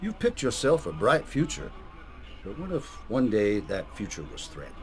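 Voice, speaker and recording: A man playing a gruff, patriotic, hot-tempered coach who speaks like a recruiter in a video game. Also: medium pitch, big pitch swings, moderate pace, natural voice. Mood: earnest, rousing. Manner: rousing, commanding, profane.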